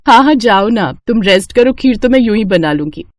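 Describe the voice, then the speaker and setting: A woman's voice: fairly high pitch, lively intonation, brisk, darkish tone, no room sound, studio quality, close to an everyday voice. A woman voicing a young new bride in a family storybook tale, deferential, earnest and eager to please. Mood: friendly, earnest. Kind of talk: acted Hindi family storybook dialogue.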